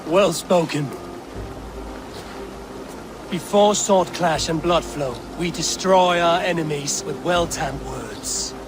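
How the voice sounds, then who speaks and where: An actor playing a seasoned Viking warrior who speaks in a measured, commanding way. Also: male voice, on the high side, over music. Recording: game cutscene with loud ambience.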